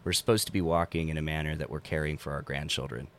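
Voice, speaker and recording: Very low-voiced man, earnest podcast talk. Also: steady tone, fast. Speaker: podcast guest. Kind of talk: casual podcast chat. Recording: clear background noise.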